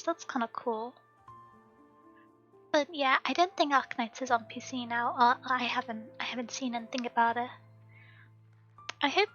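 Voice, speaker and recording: Woman streamer, soft-spoken, slightly hoarse; high, bright tone, faint background music. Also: dull audio. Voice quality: softly spoken. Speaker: game streamer. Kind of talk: casual live-stream chat while gaming. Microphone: desk microphone at home.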